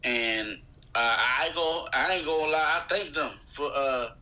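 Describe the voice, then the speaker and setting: A man in his thirties with an American accent and a very bright, sharp voice, pitched a little high. He chats casually as a guest on a show. His talk is loose and slangy. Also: a little slow. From United States. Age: 30s. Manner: slangy, conversational. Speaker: guest, rapper. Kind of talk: casual interview chat.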